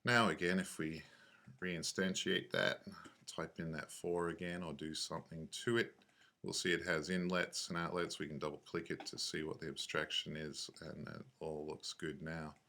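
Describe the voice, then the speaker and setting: The guy at the back of the computer music lab with a deep, bright-edged voice, casually talking you through a patch in slang as he clicks. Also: flat delivery, normal speed, gravelly. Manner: laid-back, offhand, slangy. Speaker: software tutorial instructor. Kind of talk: casual screencast walkthrough, thinking aloud.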